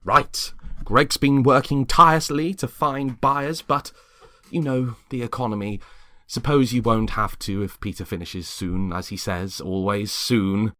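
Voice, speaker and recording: A man's voice: medium pitch, steady tone, unhurried, bright, forceful tone, no echo, pitched above the performer's own voice. A man voicing a chirpy, gossipy suburban wife in her thirties in a dramatic script reading, with a gushing, insinuating manner. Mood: chatty.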